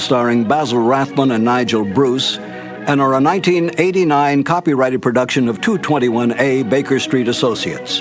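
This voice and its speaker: A man announcing scripted programme credits in a narration style. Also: medium pitch. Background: music.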